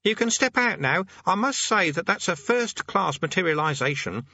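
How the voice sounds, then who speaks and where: High, squeaky, blustering teenage boy. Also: expressive, normal speed, creaky, bright, forceful tone, dry recording, dull audio, heavily acted. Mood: eager, chatty. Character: boy demonologist posing as an old man, eager, squeaky, petulant.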